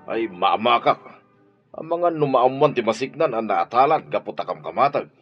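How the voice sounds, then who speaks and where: A man's voice: mid-range voice, expressive, moderate pace, husky, muffled recording, soft background music. A man playing a wary, argumentative, grumbling husband in an audio drama. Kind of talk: Ilocano audio drama acting.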